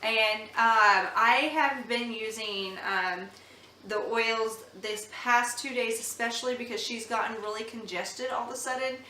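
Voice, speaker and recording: A woman's voice: a little high, steady tone, a little slow, sharp tone, a little hiss or hum. A young woman, a mother, chatting earnestly at home about baby products, with many ums and restarts. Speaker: mom vlogger reviewing baby products. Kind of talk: casual product review chat. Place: home room. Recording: echoey room, slightly far from mic.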